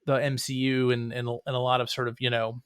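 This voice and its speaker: A man's voice: mid-range voice, flat delivery, brisk, clear, resonant tone, no room sound, clean studio sound. A middle-aged man chatting casually as an interview guest, with a wry, joking manner. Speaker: comics writer and playwright, interview guest.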